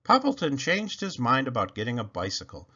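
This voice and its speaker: Male storybook reader, hugely varied pitch, bright tone with some huskiness, children's narration. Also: huge pitch swings, fairly quick, breathy edge, lo-fi sound. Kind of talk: animated children's read-aloud narration.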